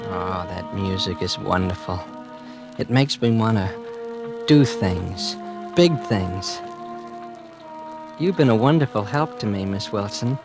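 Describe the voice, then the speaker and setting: A man's voice: lowish voice, lively intonation, slow pace, long pauses. An actor in old-time radio drama playing a polite, troubled young male murderer, speaking in a hesitant, earnest way. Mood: wistful, intense. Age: young adult. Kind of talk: 1940s-50s radio drama acting and first-person narration. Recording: old radio recording with music. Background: dramatic music.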